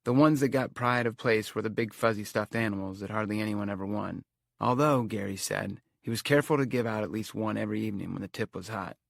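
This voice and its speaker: A man's voice: lowish voice, fast, gravelly, no echo, studio quality. A man reading a first-person story as an audiobook, in a reflective storytelling manner. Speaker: audiobook narrator. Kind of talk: scripted audiobook narration.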